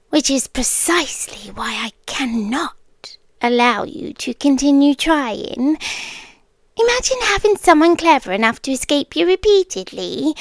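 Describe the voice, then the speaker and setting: A woman acts out an obsessive monster queen with a British accent in an ASMR roleplay. Her voice is high and hushed, often sinking into a whisper, very close and dry. She speaks slowly and regally, with a soft, possessive menace. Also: no echo, full character voice. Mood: coolly amused, controlling. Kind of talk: ASMR roleplay, intimate scripted monologue.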